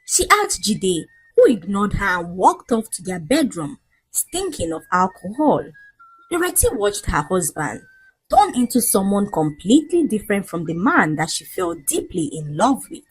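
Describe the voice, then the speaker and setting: A woman's voice: medium pitch, sing-song, moderate pace, slightly rough, rough recording. A woman narrating a folktale in a scripted, dramatic storytelling style.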